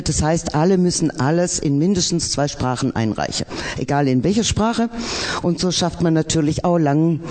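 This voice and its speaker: A man with a slightly raised pitch talks through an academic panel discussion. He pauses often with fillers and restarts, earnest about his point. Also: a little mellow. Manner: hesitant, many fillers, earnest.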